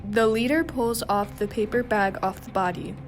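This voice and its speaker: A woman's voice: mid-range voice, moderate pace. A young woman reading scripted story narration for an amateur radio drama. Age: young adult. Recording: noisy hall with long reverb.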